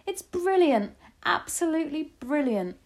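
A feisty old grandmother from a children's storybook, acted out by a woman. She is fierce and gleeful, making bold, emphatic, impatient declarations at a raised pitch. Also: expressive, normal speed, darkish tone, natural voice. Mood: thrilled. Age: older. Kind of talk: storybook read-aloud.

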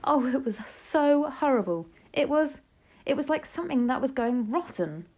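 A woman's voice: sits high, lively intonation, moderate pace, a little mellow, pitched above the performer's own voice. Woman reading as an earnest young boy. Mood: horrified.

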